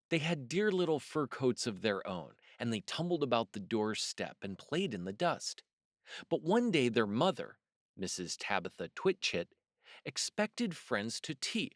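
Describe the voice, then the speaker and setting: A man narrating a children's storybook as a storyteller, expressive and deliberate in his emphasis. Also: mid-range voice, no room sound, clean studio sound. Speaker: storyteller, host of a read-aloud series.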